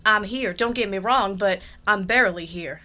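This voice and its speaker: A woman's voice: medium pitch, normal speed, sharp tone, natural voice. A woman playing a curt, jaded household maid in a radio drama, clipped and sardonic. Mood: irritated.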